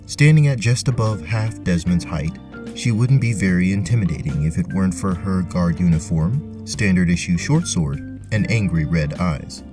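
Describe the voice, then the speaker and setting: A man narrating a scripted tabletop fantasy adventure in a deliberate, theatrical way. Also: low voice. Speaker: narrator and game host.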